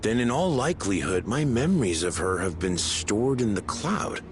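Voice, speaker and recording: A man's voice: fairly low pitch, animated, moderate pace, slight background noise, natural voice. A man playing a polite official in a fantasy video game, with a hesitant, apologetic manner. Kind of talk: scripted video game acting.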